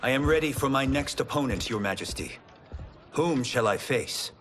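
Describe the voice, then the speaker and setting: A man's voice: medium pitch, animated, normal speed, a bit bright, faint music behind, lightly acted. A man playing a humble, polite young fighter who speaks earnestly. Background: game score.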